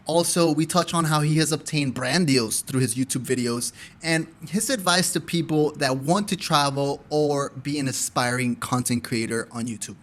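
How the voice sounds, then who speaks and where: A man's voice: on the high side, normal speed, hardly any pauses. Laid-back male podcast host. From Colombia. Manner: friendly, laid-back, says "bro" and "dude". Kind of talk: casual podcast interview.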